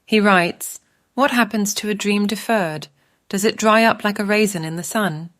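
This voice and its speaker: A woman author and coach narrating her own self-help audiobook in a measured, deliberate, instructional way. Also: medium pitch, fairly bright tone, dry recording, clean studio sound.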